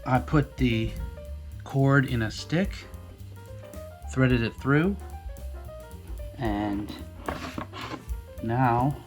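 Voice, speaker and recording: A man's voice: medium pitch, drawn-out words, long pauses, faint music behind. Man casually talking through a DIY project. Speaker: DIY boat vlog host. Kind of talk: casual unscripted how-to chat.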